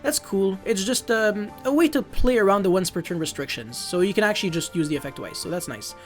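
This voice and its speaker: A man's voice: high voice, expressive, brisk, faint music behind. A young man whose first language is French, casually walking through a card deck at home, rambling and correcting himself as he goes. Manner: rambling, self-correcting, laughs at own slips. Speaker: card game youtuber. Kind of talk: casual deck profile walkthrough.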